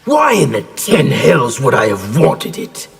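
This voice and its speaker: An actor playing an angry, defiant warrior who feels betrayed, in aggressive fighting-game dialogue. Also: male voice, medium pitch, huge pitch swings, measured pace, creaky, full character voice. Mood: defiant threat. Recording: loud music behind.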